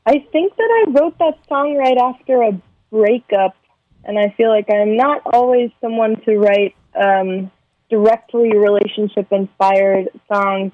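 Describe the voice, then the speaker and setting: Brief: young woman songwriter, measured and hesitant, dry muffled remote audio. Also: medium pitch, steady tone, dry recording. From San Fernando Valley, California. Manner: soft-spoken, thoughtful, hesitant with many ums. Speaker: singer-songwriter guest. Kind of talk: remote interview.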